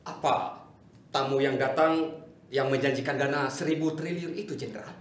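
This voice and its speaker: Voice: mid-range voice, normal speed, lightly acted. A performer playing a proud but deferential strategist to a general in a melodramatic stage reading. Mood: eager. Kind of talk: theatrical dramatic reading. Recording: echoey distant room with noise.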